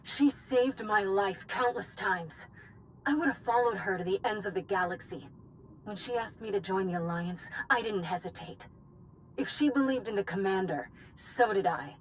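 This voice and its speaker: A woman's voice: mid-range voice, moderate pace, lightly acted, rough recording. Woman acting as a vengeful female knight, solemn, resolute, grieving, video game drama, faint background noise. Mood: solemn, devoted.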